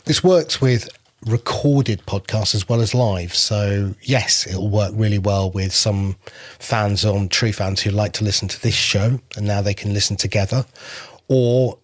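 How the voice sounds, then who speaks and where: A man walks through new app features in a relaxed, chatty way. His voice is slightly husky. The sound is close and dry, with no room behind it. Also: on the low side, a little slow, dry recording. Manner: explains product features step by step in a relaxed, chatty way. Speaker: podcast app founder and co-host. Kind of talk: casual podcast chat.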